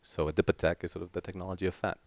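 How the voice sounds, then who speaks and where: A man's voice: low-pitched, level tone, racing, dry recording. A man with a Catalan accent, whose first language is Catalan, speaking as a guest scientist in a casual interview, hesitant and often repeating words as he restarts. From Catalonia, Spain.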